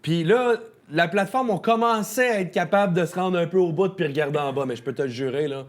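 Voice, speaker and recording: A man's voice: fairly high pitch, fast talker. A man with a Québécois French accent, a guest in a casual studio chat, talking in an easygoing, drawling way.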